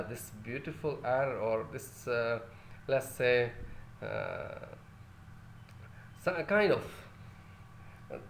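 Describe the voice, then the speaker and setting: A man's voice: mid-range voice, echoey room, a little hiss or hum. A male lecturer whose first language is Urdu, teaching a class with many fillers and restarts and putting questions to his listeners.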